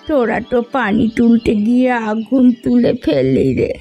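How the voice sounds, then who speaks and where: A woman's voice: on the high side, sing-song, moderate pace, dark tone, faint music behind. A woman voicing a blunt old village woman in a cartoon, teasing and scolding as she talks. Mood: curious, teasing. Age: older. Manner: mocking, blunt, moralizing. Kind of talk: Bengali fairy-tale cartoon voice acting.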